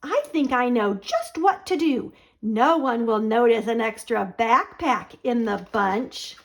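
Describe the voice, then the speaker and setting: Woman voicing an excitable high-pitched storybook turkey. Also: slow pace. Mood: confident, scheming. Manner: exclaims and gobbles. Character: eager, scheming farm turkey, easily frustrated. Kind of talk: children's storybook read-aloud.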